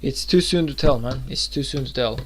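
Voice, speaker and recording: A man's voice: medium pitch, fairly quick, a bit bright, faint music behind. Rambling young man streaming. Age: 20s. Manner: rambling, chatty, with many restarts and fillers. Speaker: game streamer. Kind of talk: casual live-stream chat.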